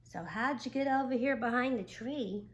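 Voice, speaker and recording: A woman voicing Frizzle, a curious, excitable young male creature in a children's storybook. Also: a little high, normal speed, close to an everyday voice. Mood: gentle, caring.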